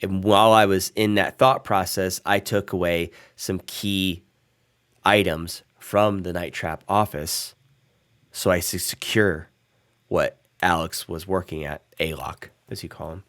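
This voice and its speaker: A man playing a guarded vampire in a tabletop game, talking hesitantly with many pauses and restarts. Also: low voice, fairly even intonation, a bit bright. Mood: apologetic. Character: male vampire, guarded and rambling.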